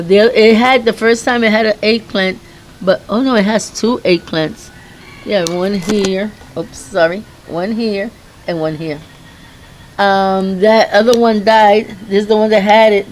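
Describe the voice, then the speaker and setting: A middle-aged woman with a hoarse voice giving a rambling, chatty garden tour outdoors, often stopping and restarting her sentences. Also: mid-range voice, darkish tone. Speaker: home gardener. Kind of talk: casual garden tour vlog.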